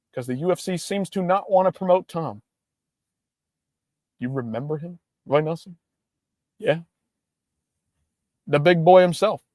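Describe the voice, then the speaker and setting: A man's voice: on the high side, a little mellow, no room sound, clean studio sound. A young man hosting a casual livestream, ranting in a hyped-up, profane way. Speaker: livestream host.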